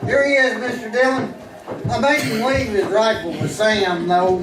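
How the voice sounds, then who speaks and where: An actor playing an eager, flustered, good-hearted deputy with an in an old-time radio western. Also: male voice, high-pitched, normal speed, background noise, lightly acted. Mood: deferential. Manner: hesitant, repeats words, excitable. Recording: roomy, distant vintage radio with music.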